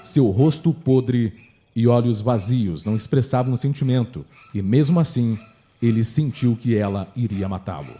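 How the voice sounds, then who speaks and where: A man's voice: medium pitch, normal speed, mellow. A man with a Brazilian accent narrating a scripted horror story for radio drama. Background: faint music.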